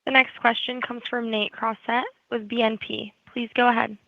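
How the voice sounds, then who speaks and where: A woman's voice: fairly high pitch, flat delivery, moderate pace, vocal fry, dry recording. A female conference operator reading scripted announcements to callers. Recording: slightly muffled phone line.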